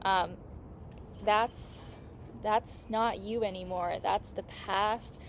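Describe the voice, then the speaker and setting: A woman with a mid-pitched voice and a touch of vocal fry talks through an astrology lesson in a casual, earnest way. She sounds reassuring, with small mouth clicks now and then. A faint hum of passing traffic sits behind her. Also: fairly even intonation, very slow. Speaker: astrologer and healing coach. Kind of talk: casual astrology teaching monologue.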